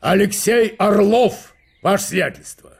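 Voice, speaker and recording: An actor playing a huge, insolent guards captain in a radio drama, reporting with precise military formality. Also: male voice, high voice, unhurried, gravelly, slightly rough, pitched above the performer's own voice, exaggerated voice. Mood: brisk, formal.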